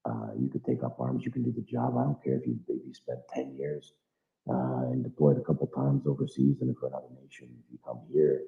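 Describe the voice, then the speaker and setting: A man's voice: rumbling low, expressive, brisk, creaky. A man from the United States who volunteers as a fighter in Ukraine, talking earnestly and bluntly in a live audio chat, with the odd curse word. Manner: earnest, philosophical, blunt with occasional swearing. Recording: echoey, dull lo-fi online audio room.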